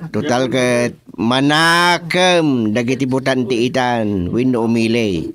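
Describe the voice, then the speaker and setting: A man playing a slow, speechifying village chairman. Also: medium pitch, a crowd in the background, natural voice. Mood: approving. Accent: Ilocano. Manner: measured, speech-like, mixes in English phrases. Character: slow-talking, praising middle-aged village chairman. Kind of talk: Ilocano radio drama comedy.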